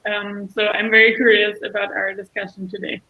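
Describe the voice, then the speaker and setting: A woman's voice: mid-range voice, flat delivery, a little fast, phone-quality sound. A woman introducing herself on a video-call panel, friendly and orderly. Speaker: panel co-host, partnerships worker. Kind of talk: casual online panel talk.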